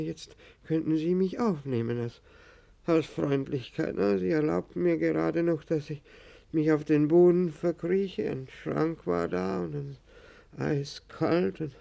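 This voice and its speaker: A hunted old man in a vintage radio drama, bitter and afraid. His voice is very creaky and dark in tone, pitched a little high. He pleads haltingly, circling back over the same words. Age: older. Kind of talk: 1950s German radio play acting. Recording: 1950s radio play recording.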